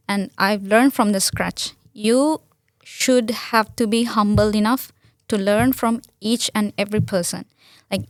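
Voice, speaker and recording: A woman's voice: medium pitch, no room sound. A young woman from Sri Lanka with a Sri Lankan accent, a native Sinhala speaker, answering interview questions earnestly with many restarts and fillers. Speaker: guest.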